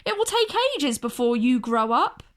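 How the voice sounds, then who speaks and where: A woman's voice: on the high side, very animated, moderate pace. A woman acting a bigger male monkey in a children's storybook, a sensible, dismissive friend with a matter-of-fact manner. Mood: amused, patient.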